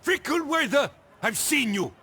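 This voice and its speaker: High-pitched, sneering male townsman. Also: big pitch swings, a little slow, creaky, sharp tone, heavily acted. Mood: accusing, irritated. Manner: scornful, confrontational. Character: indignant, sneering male townsman shopkeeper, accusing bully. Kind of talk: video game cutscene acting.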